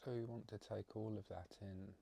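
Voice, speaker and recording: A man with a mellow, flat-toned voice leads a guided meditation. He talks off the cuff in short, halting pieces, leaving long pauses, with plenty of vocal fry. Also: lowish voice.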